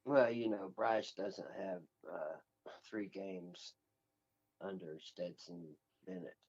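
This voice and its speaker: Low, wry man, slightly muffled. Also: takes it slowly, darkish tone, husky. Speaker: co-host. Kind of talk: casual sports banter.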